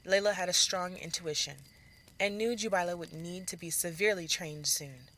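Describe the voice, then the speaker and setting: Bright-voiced woman narrating a dramatic story. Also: on the low side, moderate pace, a little hiss or hum.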